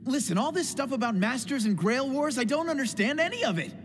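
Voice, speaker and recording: A man's voice: extremely high pitch, animated, brisk, big-room sound, background noise. An actor playing an earnest, headstrong teenage boy in an English anime dub, casual and questioning. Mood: incredulous.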